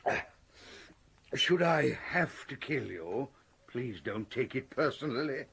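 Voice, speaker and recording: An actor playing a jovial, verbose professor turned crime lord in a period radio drama, florid and courteous with a menacing edge. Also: male voice, mid-range voice, animated, slow pace, creaky, a bit bright, slightly rough, full character voice. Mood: smoothly menacing.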